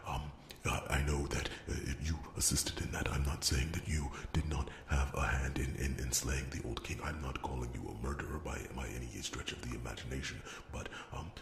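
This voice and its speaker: A man playing a nervous, rambling blacksmith in a fantasy ASMR roleplay, soft and breathy, often near a whisper, stammering and apologetic. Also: rumbling low, steady tone, brisk, roomy sound. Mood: flustered, hesitant. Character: nervous, rambling, beer-loving male blacksmith in fantasy realm.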